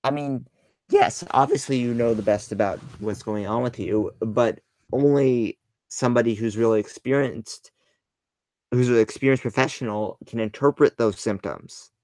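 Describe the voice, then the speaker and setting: A man hosting his channel, pausing a clip to rebut it in skeptical casual commentary. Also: mid-range voice, a little mellow, dry recording. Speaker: channel host reacting to a video.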